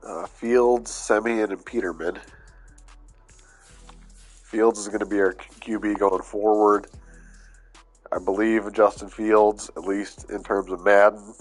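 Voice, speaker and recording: A man's voice: fairly low pitch, fairly even intonation, takes it slowly, pauses often, husky, faint music behind. A man with a stuffy, under-the-weather voice giving laid-back, casual commentary over a video game as he plays, thinking aloud with fillers. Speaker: gaming YouTuber.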